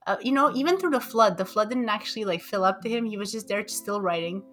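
A young woman chats on a podcast with a playful, curious tone, mixing jokes into her probing questions. Her voice sits at a middle pitch, with soft guitar music in the background. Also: fast talker. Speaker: podcast co-host. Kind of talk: casual conversational podcast.

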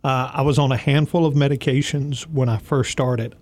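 A man's voice: mid-range voice, fairly even intonation, moderate pace, a little mellow. A man hosting a casual talk show, stuffy from allergies, giving folksy, encouraging advice straight to a listener. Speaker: host.

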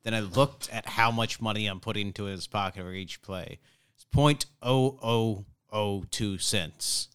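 Male podcast host, radio-ready voice, fairly low and clear, wry casual banter. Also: measured pace, a bit bright. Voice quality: old-school radio voice. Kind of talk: casual comedic chat.

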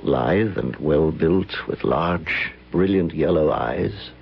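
An actor playing a grave American gentleman recounting his past in a radio drama, with an American accent and measured, literary phrasing. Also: rumbling low, animated, gravelly, background noise, exaggerated voice. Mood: grave, reflective. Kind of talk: old-time radio drama acting and narration. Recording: lo-fi radio recording, loud music behind.

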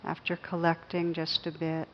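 A woman teaching guided meditation, speaking gently and unhurriedly with long pauses between phrases. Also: low-pitched, monotone, lo-fi sound, slight background noise.

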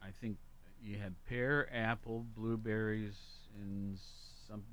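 A man co-hosting a casual talk, reading humorous lists aloud deliberately and emphatically, with offhand asides. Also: lowish voice, level tone, a bit bright. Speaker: talk show co-host.

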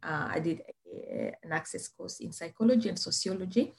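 Hesitant woman from Rwanda, lowish voice. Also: fairly quick, creaky, a little mellow. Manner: hesitant, many fillers and restarts. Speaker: podcast guest, business founder. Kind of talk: casual interview storytelling.

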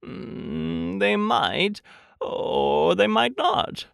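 A narrator voicing a slow, earnest, anxious male toy bear who muses hesitantly in a children's storybook. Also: medium pitch, animated, creaky, a bit bright, no room sound, clean studio sound, pitched above the performer's own voice, heavily acted. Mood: pondering, earnest. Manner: hesitant, deliberate, drawn-out musing.

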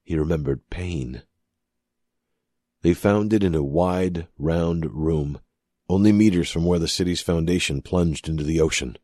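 Man, audiobook narrator, extremely low pitch, husky, measured dramatic delivery, dry sound. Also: warm tone, no echo. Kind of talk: scripted dramatic fiction narration.

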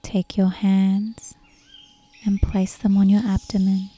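Female meditation guide: very mellow, relaxed tone, even pitch, soft delivery, measured pauses. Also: slightly rough. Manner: soothing, measured, with long pauses. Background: soft meditation music with birdsong.

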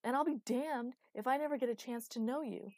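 A woman's voice: mid-range voice, a little fast, warm tone, no room sound, studio quality, pitched above the performer's own voice, natural voice. A woman voicing a small, desperate, defiant male smith who is lovelorn, in a storybook narration. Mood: impassioned.

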